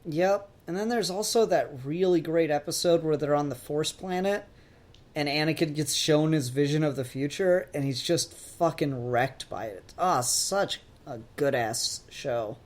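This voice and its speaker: A man's voice: on the high side, a little slow. A man talking casually as a podcast host, opinionated and emphatic, swearing freely. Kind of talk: casual pop-culture podcast discussion.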